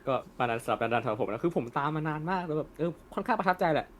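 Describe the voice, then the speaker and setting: A man's voice: a little high, lively intonation, very fast, slight background noise. A young man talking casually and informally as co-host of an anime discussion. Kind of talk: casual post-film chat.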